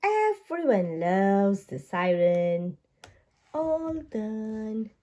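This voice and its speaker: A woman reading a storybook aloud to young children in an expressive, deliberate way. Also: mid-range voice, a little hiss or hum. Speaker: children's book read-aloud narrator.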